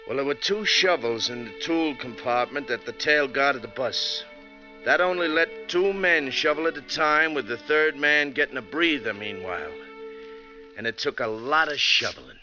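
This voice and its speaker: A man's voice: medium pitch, expressive, normal speed, pushed and bright, lo-fi sound, soft background music. A man playing a wry, tough bus driver who narrates his own story in 1940s radio drama style. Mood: grim, storytelling. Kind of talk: 1940s radio acting, first-person narration. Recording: thin, band-limited 1940s radio recording.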